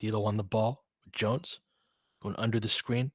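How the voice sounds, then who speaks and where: A man's voice: fairly low pitch, steady tone, normal speed, dry recording, studio quality. A man hosting a casual live basketball play-by-play, mixing chatty asides with short, clipped calls of the action. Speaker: host doing fan play-by-play of a basketball game. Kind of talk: live sports commentary mixed with casual chat.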